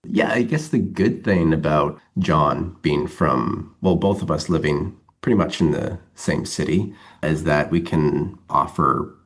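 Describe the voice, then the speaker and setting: A man's voice: rumbling low, a little slow, few pauses, breathy edge. A man talking casually as an interview guest, rambling a little as he thinks aloud. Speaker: interview guest, comic writer.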